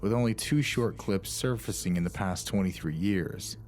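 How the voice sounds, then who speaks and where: A man delivers scripted documentary-style narration. Music plays softly underneath. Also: sits low, moderate pace. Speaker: video narrator.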